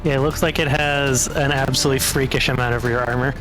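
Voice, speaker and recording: A man's voice: medium pitch, fairly even intonation, fast, over music, rough recording. A man chatting casually as a player in a tabletop game. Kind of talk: casual chat.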